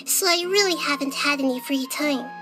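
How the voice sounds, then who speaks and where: A woman voicing a gentle, thoughtful childlike goddess in a game, with a soft young-sounding voice and poetic way of talking. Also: high voice, moderate pace, soft background music. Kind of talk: game cutscene dub.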